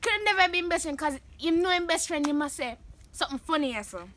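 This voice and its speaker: A young Jamaican woman hosting her vlog, chatting animatedly and breaking into loud laughs and shouts. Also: high voice, lively intonation, quick pace, slight background noise. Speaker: vlogger host. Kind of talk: casual chat answering questions.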